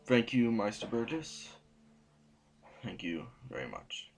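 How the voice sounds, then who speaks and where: An actor playing a formal, ruthless and weary council sovereign in an audio drama, speaking in deliberate official phrases. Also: male voice, lowish voice, very animated, creaky, faint music behind, heavily acted. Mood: cold, grave.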